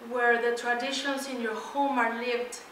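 A middle-aged woman from Mexico giving an energetic, emphatic motivational talk to students, laughing often as she walks around. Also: fairly high pitch, a little slow, slight background noise. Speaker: keynote speaker. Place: large lecture hall. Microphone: far room pickup. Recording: echoey hall from far off.

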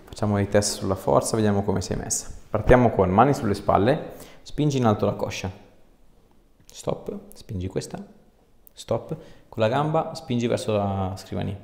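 The voice is a man's, low and creaky. He talks casually as an osteopath examining a patient, giving short, reassuring instructions. The small treatment room adds a clear echo. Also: moderate pace, darkish tone. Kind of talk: casual hands-on exam talk, ASMR video.

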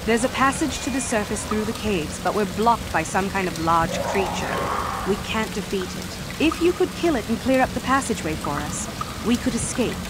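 A defiant young female fugitive student, acted by a woman in a video game. Her voice is mid-pitched, earnest and guarded, set against loud, rain-like background noise. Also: lively intonation, moderate pace, natural voice. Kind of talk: video game character acting.

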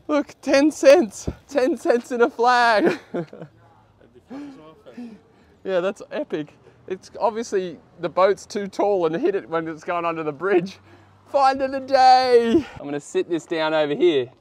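A man in his thirties with an Australian accent, chatting casually and excitably as he hosts an outdoor vlog. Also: high voice. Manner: excitable, exclaiming. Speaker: host of a magnet fishing vlog. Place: outdoors beside a canal. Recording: outdoor noise, traffic and ambience.

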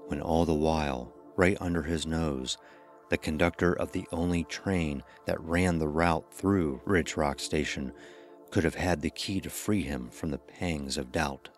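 Super deep voiced guy narrating a horror story, measured and ominous, bit of music behind. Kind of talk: scripted dark horror narration.

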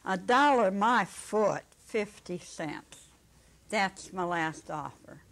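A woman's voice: mid-range voice, lively intonation, slow pace, gravelly, a bit bright, full character voice. An elderly woman voicing a rich, lazy, whining mill owner's wife who haggles insistently in a story reading. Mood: haggling, indignant.

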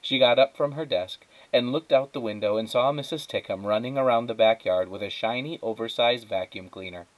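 A man's voice: medium pitch, a little fast, few pauses, no echo, low-quality recording. A man narrating a children's storybook aloud, as a teacher does. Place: indoors at a wooden table.

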